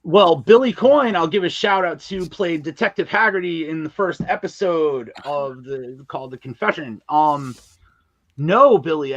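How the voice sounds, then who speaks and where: A man's voice: on the high side, fairly bright tone, no echo, lo-fi sound. Man with a dry, close sound, chatting candidly. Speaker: guest, audio drama writer and filmmaker. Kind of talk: casual podcast interview.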